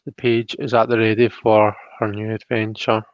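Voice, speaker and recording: A man's voice: on the low side, flat delivery, normal speed, no echo, lo-fi sound. A Scottish man hosting a casual live tarot reading, chatty and hesitant, often chuckling as he reads out viewer comments.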